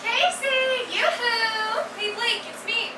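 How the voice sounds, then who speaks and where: Casting: excitable, gushing high school girl played by a woman, theatrical stage delivery, distant, very noisy recording. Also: takes it slowly. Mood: excited, gushing. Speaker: stage actor. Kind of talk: staged musical reading. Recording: echoey, distant live room, loud rumble.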